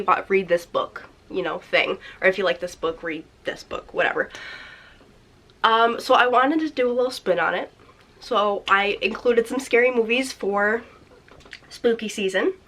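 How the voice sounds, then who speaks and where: Bubbly woman with a slightly raspy voice. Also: medium pitch, fairly bright tone. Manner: bubbly, rambling, self-correcting. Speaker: book vlogger. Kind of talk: casual chatty book recommendations. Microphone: desk mic or camera, seated in chair.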